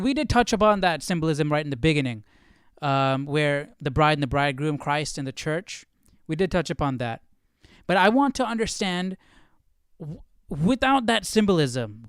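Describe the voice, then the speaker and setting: A man's voice: on the high side, smooth, dry recording, studio quality. A man hosting a live Bible study, thinking aloud in casual commentary and puzzling through questions as he goes.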